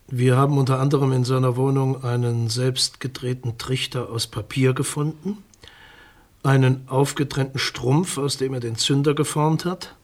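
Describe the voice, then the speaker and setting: A man's voice: mid-range voice, natural voice. Sober, guarded public prosecutor played by a man. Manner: formal, precise, matter-of-fact officialese. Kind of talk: naturalistic German radio crime drama.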